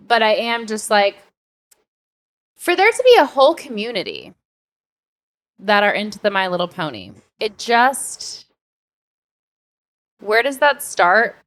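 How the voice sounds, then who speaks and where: An American woman co-hosting a casual podcast, chatting in an animated, informal way with occasional swearing. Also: mid-range voice, unhurried, long pauses. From United States. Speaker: podcast co-host.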